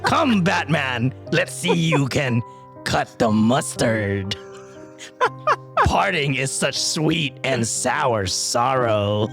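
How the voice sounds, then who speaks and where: A man playing a pompous comic-book supervillain, delivering a grandiose, hammy monologue with drawn-out punning flourishes. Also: mid-range voice, lively intonation, creaky, a bit bright, soft background music, voice pushed down, full character voice. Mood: gloating, theatrical. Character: pompous, theatrical male comic-book supervillain stand-up comedian.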